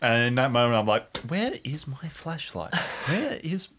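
A man's voice: mid-range voice, sing-song, a little slow, fairly bright tone, slight background noise. A man playing in an improvised tabletop game, chatting casually and narrating his character's actions. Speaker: player in an audio drama game.

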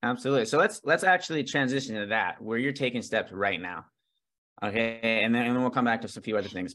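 A man's voice: lowish voice, fairly bright tone. A man hosting a podcast interview, affirming his guest and steering the conversation along. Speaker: podcast host.

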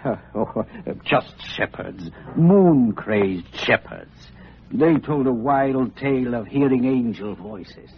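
An actor playing a nervous, deferential innkeeper in an old-time radio drama, hesitant and easily agitated. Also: male voice, medium pitch, expressive, takes it slowly, creaky, a little hiss or hum. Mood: nervous, evasive. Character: nervous, obliging innkeeper, anxious and fearful. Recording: thin muffled old radio recording.

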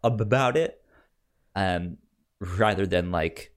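Low-voiced man, halting casual film chat. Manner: halting, repeats words while searching for phrasing. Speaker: podcast co-host discussing a film.

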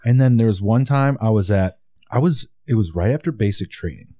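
A man's voice: on the low side, expressive, brisk, mellow, no room sound, studio quality. A man from Wisconsin with a Wisconsin accent, hosting a casual interview and telling stories in an easygoing way. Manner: easygoing storyteller. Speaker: podcast host.

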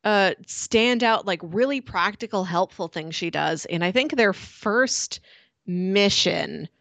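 A woman co-hosting a casual book podcast, retelling a story in an animated way with frequent laughs. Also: mid-range voice, slow, gravelly, no echo. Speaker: podcast co-host. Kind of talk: casual book-club chat.

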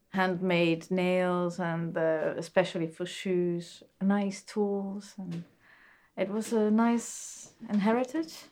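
Halting Austrian-born woman shoemaker. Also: on the low side, darkish tone. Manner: halting, searching for words, many fillers. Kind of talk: interview answers.

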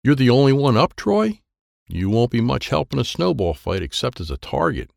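A man's voice: medium pitch, sing-song, normal speed, vocal fry, a little mellow, no echo, studio quality, pitched above the performer's own voice. A man voicing a stubborn, bossy, sporty older girl in a children's audiobook. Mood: dismissive. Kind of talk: children's audiobook character dialogue.

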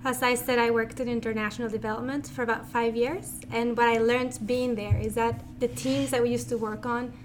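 Mexican-born female student, plain self-introduction, fairly high pitch, music bed. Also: little pitch movement, a little fast, few pauses. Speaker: master's student. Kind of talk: interview answers. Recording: music under the voice.

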